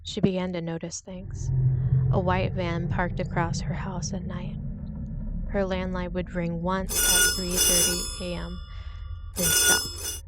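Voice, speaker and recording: A woman narrating a scripted suspense story in a measured, deliberate way, with short dramatic pauses. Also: lowish voice, wildly swooping pitch, very noisy.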